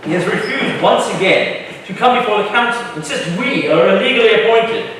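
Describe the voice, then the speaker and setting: A man's voice: high-pitched, expressive, a little fast, a bit bright, slight background noise. A man playing a stern, pompous colonial council official in a staged reading, heard from far off in an echoey hall. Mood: indignant. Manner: pompous, declamatory. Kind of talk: staged reading of a historical drama. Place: live stage in a reverberant hall.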